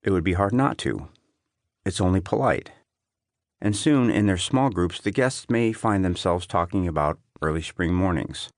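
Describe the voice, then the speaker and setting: A man reading an essay as an audiobook narrator, in a measured, clearly phrased delivery. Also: sits low, expressive, no echo, studio quality.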